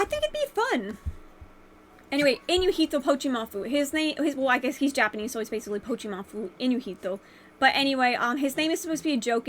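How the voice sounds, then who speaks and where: A young woman with a high voice and a stuffy, congested nose from a cold rambles casually into the camera in her bedroom. She talks haltingly, with plenty of fillers and restarts. A faint hiss sits under the recording. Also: a bit bright. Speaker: vlogger.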